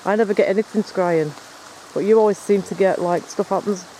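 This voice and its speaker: A man's voice: high-pitched, fairly quick, dark tone, slight background noise, rough recording. A man chatting casually while investigating the woods at night, rambling and explaining as he goes. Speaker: paranormal investigator filming. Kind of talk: casual on-location chat. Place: woods by a stream at night, in rain.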